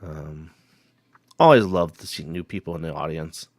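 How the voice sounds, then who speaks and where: A man hosting a paranormal live stream, hoarse from a cold, rambling casually and greeting viewers by name. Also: a bass voice, moderate pace, dry recording, studio quality.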